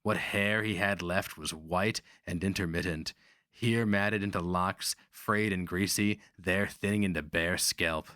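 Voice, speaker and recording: A man narrating a fantasy story as a dramatic reading, grave, deliberate and theatrical. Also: sits low, fairly bright tone, no room sound, clean studio sound.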